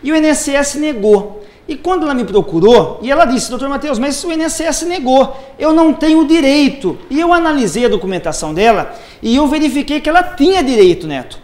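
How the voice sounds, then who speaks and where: A man's voice: very high voice, lively intonation, a little fast, few pauses, a little mellow. A man working as a social security lawyer, methodically explaining pension rules step by step on a live TV program. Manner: methodical, lays out cases step by step.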